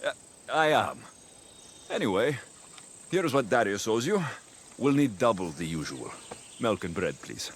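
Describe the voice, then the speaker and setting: An actor playing a tired young Greek warrior and father, gentle and wry, with a Greek accent and a dry, understated delivery in a video game cutscene. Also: on the low side, sing-song, unhurried, pauses often, slightly rough, exaggerated voice. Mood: wry, friendly.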